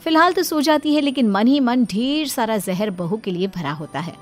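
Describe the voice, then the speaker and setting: A woman narrating a cartoon family story in a plain, steady storytelling way. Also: fairly high pitch, normal speed, faint music behind.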